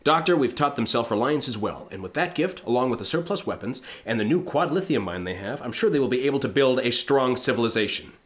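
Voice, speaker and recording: Man as brash starship captain, animated intonation, glib, petulant, comedic sci-fi radio drama. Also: medium pitch, fast talker, few pauses, natural voice. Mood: defensive. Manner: glib, pompous, hammy. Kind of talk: comedic science-fiction radio play acting.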